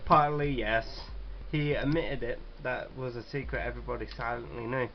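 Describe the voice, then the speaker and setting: Guy doing a casual let's play, reading out loud, sweary and jokey, muffled mic with faint noise. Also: mid-range voice, fairly even intonation, moderate pace. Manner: sweary, jokey, stumbles while reading aloud. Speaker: gaming video host.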